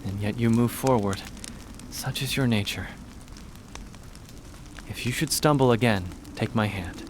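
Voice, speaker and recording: A man playing a calm, caring young lord in a video game, speaking in a composed, formal and measured way. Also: medium pitch, noisy background, natural voice. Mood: gentle.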